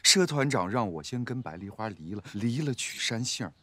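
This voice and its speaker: A man's voice: on the high side, big pitch swings, normal speed, fairly bright tone, breathy edge, no echo, heavily acted. An actor in a TV drama playing a put-upon young adjutant, impatient and whiny as he protests. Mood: sullen, impatient. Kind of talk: TV drama acting.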